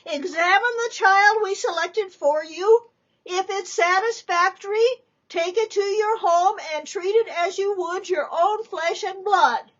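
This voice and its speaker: Woman voicing a high, commanding nun calling out. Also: a little slow, highs cut off. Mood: commanding, formal. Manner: loud, formal, projecting announcements. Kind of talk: dramatic storybook reading.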